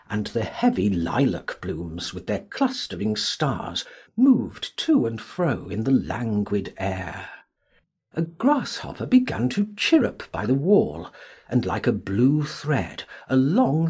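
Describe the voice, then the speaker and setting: Male audiobook narrator, very husky, animated intonation, slow, scripted storytelling. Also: fairly low pitch.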